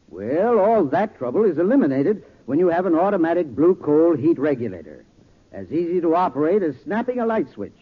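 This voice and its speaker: A man's voice: a little high, slightly rough. An American man giving a folksy, instructive scripted talk as a 1940s radio advertising expert. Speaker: advertising spokesman, home heating expert. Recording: tinny 1940s radio recording.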